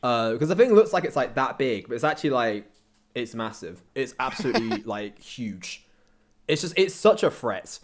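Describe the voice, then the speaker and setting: A man's voice: medium pitch, very animated, moderate pace, slight background noise. A young man chatting casually as an interview guest, rambling along in a slangy, jokey way. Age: young adult. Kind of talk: casual chat.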